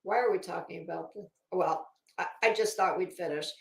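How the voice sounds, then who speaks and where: A woman's voice: fairly low pitch, fairly quick, creaky. A woman livestreamer talking casually and reading aloud, with a blunt, sardonic, salty-tongued manner. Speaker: livestream host. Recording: echoey room.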